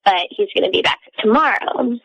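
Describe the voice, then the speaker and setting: A woman's voice: medium pitch, lively intonation, normal speed, creaky, over a noisy crowd, rough recording. A woman calling in to a radio show, chatting casually in an anxious, hesitant way. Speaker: caller. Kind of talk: casual phone-in chat.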